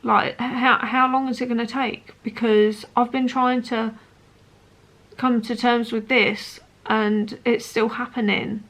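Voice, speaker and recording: A woman in her late twenties talking personally to camera as a vlogger, in an earnest, hesitant, halting way. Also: fairly high pitch, flat delivery, fairly bright tone, rough recording. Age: late 20s.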